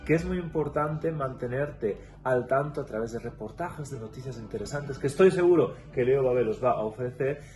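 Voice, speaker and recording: A man from Catalonia with a Catalan-tinged Spanish accent, a guest in a casual interview, explaining thoughtfully with pauses and restarts. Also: mid-range voice, darkish tone, soft background music. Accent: Catalan-influenced Spanish. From Catalonia, Spain.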